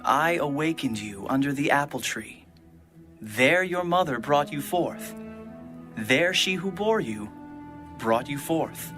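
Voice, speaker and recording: A man's voice: on the high side, animated, takes it slowly, music in the background. A man playing a watchful brother, declaiming formally and poetically in a dramatised Bible reading. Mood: wondering. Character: watchful brother speaking in ancient love poetry.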